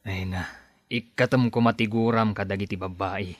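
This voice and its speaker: A man's voice: lowish voice, steady tone, normal speed, no room sound, lightly acted. A man playing a friend who gives advice in a radio drama, speaking in a matter-of-fact way. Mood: advising. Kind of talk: Ilocano radio drama acting.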